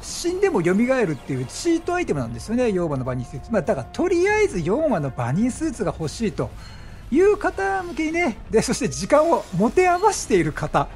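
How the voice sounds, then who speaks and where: A man giving chatty, animated gameplay commentary as a gaming streamer, explaining things as he plays and exclaiming at the action. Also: very high-pitched, fast, soft background music. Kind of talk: excited game commentary and explanation.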